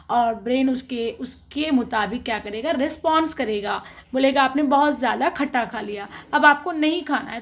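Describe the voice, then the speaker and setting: A woman with a high, light voice teaches a school biology class. She moves point by point, posing a question and then answering it, and checks in with the listener often. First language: Urdu. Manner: asks questions then answers them, frequent "theek hai". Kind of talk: explanatory science lesson.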